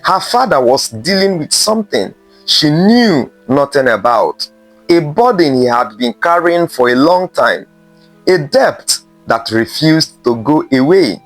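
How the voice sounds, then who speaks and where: A man narrating a scripted folktale in a measured way, with dramatic pauses between short phrases. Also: on the high side, animated, dry recording, faint music behind, rough recording. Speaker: storyteller.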